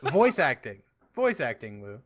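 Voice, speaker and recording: A man's voice: mid-range voice, wildly swooping pitch, measured pace, crowd noise. A man co-hosting a casual podcast, joking and boasting crudely. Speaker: podcast co-host, musician.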